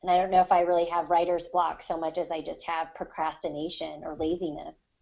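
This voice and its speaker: A woman's voice: low-pitched, level tone, a little fast, vocal fry, a little mellow, dull audio. A woman on a podcast panel talking casually and reflectively about her craft, explaining things at length. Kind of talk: casual discussion of writing craft.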